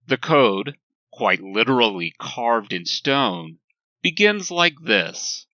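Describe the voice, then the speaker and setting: A man reading a scripted, lecture-like sermon in a measured, emphatic way with deliberate pauses. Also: medium pitch, very animated, pushed and bright, no room sound, highs cut off. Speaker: reader of a written sermon.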